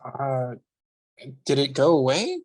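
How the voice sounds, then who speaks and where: A man's voice: mid-range voice, huge pitch swings, slow, darkish tone, no echo. A man in his twenties, a podcast guest, chatting casually with dry, self-mocking humor. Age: 20s. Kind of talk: casual chat.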